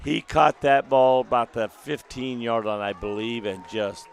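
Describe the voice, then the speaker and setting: Gravelly deep-voiced small-town football announcer. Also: male voice, a little slow, some chatter behind, a little hiss or hum. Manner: folksy, excitable on big plays. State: recovering from lost voice. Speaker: play-by-play announcer. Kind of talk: live local football commentary. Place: outdoor stadium press box. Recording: outdoor stadium broadcast.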